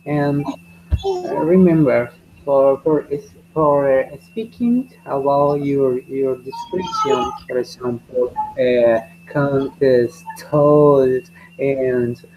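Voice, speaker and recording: A man. He talks slowly and with a gravelly edge, and he repeats his questions patiently. The audio is dull and lo-fi, with a faint hiss underneath. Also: medium pitch, very animated, a little mellow. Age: 20s. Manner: slow, halting, repeats each question several times. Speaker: English teacher. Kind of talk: beginner English class session.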